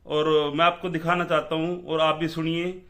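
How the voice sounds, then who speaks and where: A man's voice: on the high side, flat delivery, moderate pace, a bit bright. A man addressing viewers as the host of a vlog, introducing material. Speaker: video host.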